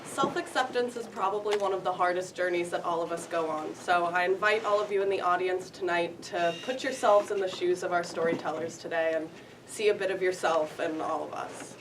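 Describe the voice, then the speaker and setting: A woman with a fairly low voice addresses an audience in a calm, inviting way. She sounds far from the mic, with clear background noise. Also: brisk. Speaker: show presenter. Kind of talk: scripted stage address.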